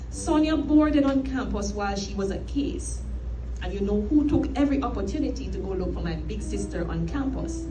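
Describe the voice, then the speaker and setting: A middle-aged woman with a Jamaican accent reads a scripted eulogy in a measured, formal storytelling manner. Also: medium pitch. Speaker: eulogist, sister of the deceased. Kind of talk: scripted eulogy read aloud. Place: inside a church. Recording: echoey church, distant mic, music behind.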